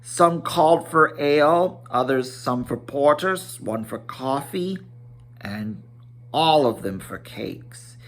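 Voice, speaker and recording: A man giving an amateur dramatic storybook reading, expressive, with occasional stumbles over words. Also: on the high side, slow. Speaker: amateur audiobook reader. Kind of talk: dramatic storybook narration with character voices.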